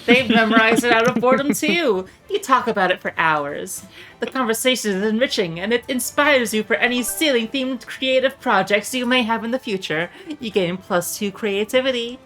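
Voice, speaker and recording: A woman's voice: fairly high pitch, moderate pace, fairly bright tone, low-quality recording. A woman streamer casually reading video game narration aloud. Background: music.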